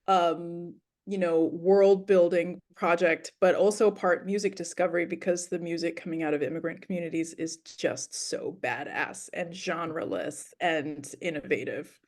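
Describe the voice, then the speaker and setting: A woman born in Ethiopia, talking as an interview guest about her music in a thoughtful, storytelling way with frequent ums and you-knows. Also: on the low side. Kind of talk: casual interview talk.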